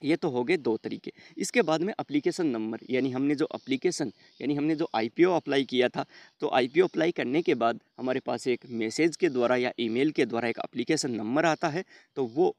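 A man's voice: mid-range voice, brisk, a little mellow, dry recording. Man explaining a phone tutorial step by step. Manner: step-by-step, repetitive fillers, addresses viewers as friends. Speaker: tech tutorial host. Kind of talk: screen-recorded how-to walkthrough.

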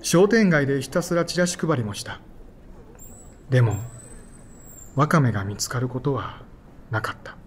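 A man's voice: medium pitch, lively intonation, moderate pace, long pauses, a little hiss or hum, lightly acted. An actor playing an earnest, emotional young man who dreams of acting, both narrating and speaking in an audio drama. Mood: reflective. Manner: earnest, emotional, sighs and pleads. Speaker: narrator and lead character. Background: soft background music.

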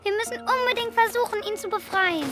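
Little girl, audio drama: very high, earnest, compassionate, trusting. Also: fairly quick. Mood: earnest, pleading. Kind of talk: German audio drama acting. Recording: music under the voice.

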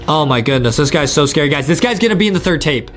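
A young man hosting a gaming video with excited, repetitive commentary, talking straight to his viewers. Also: fairly high pitch, quick pace. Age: young adult. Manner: animated, repetitive, addressing viewers. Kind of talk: excited let's-play commentary. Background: game music.

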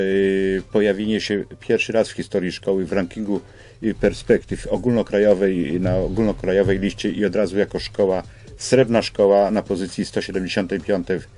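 An older man explains things as a guest in a radio interview, with halting phrasing, on a studio microphone. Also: fairly low pitch, a little mellow. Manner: halting, hesitant phrasing with repeated starts. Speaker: school representative, radio guest. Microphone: studio microphone on boom arm.

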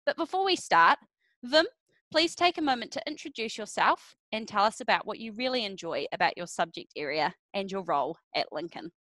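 Bright, lively young woman interviewer. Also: mid-range voice, brisk, dry recording. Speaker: student liaison officer, interviewer. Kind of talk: scripted interview questions. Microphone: headset. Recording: dry close headset audio.

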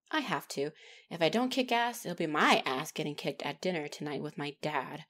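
A woman's voice: deep voice, normal speed, a bit bright. A woman acting a feisty young demigod trainee in an ASMR roleplay, chatty and easily flustered. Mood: wry, casual.

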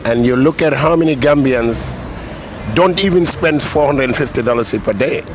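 A man giving a firm, deliberate opinion to a street interviewer outdoors. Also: medium pitch, slight background noise. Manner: argumentative, deliberate. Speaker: passer-by interviewee. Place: roadside in a city, outdoors. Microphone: handheld mic held by interviewer.

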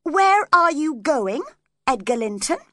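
Woman acting high-pitched, hot-tempered spoilt young woman. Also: sing-song, normal speed, pushed and bright, no room sound, clean studio sound, heavily acted. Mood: demanding. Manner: cross, emphatic, imperious.

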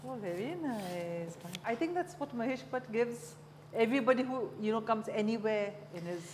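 A woman's voice: a little high, expressive, normal speed, darkish tone, big-room sound, slight background noise. A middle-aged woman, hosting a conversational interview. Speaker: interviewer, talk show host. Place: large indoor room at a long wooden table.

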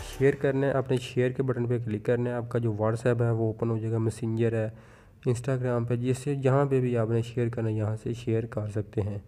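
A young man hosts an informal step-by-step app tutorial, explaining repetitively and addressing viewers directly. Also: mid-range voice. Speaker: tutorial video host. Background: background music.

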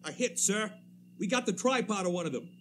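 An actor playing an anxious artillery observer, giving halting reports to his officer in radio drama style. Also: high voice, lively intonation, fairly bright tone, slight background noise. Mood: tense, alarmed. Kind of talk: 1930s radio drama acting.

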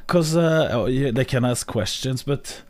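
Slow-talking Norwegian man streaming. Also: mid-range voice, darkish tone. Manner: slow, hesitant, many fillers and restarts. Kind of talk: casual live-stream chat. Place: home streaming room. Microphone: studio mic on boom arm, headphones.

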